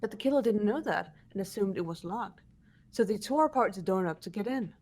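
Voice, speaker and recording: A woman playing a composed, analytical student detective, explaining in a measured way for a fan-voiced game. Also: medium pitch, lively intonation, a little mellow. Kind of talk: fan voice acting of a video game script.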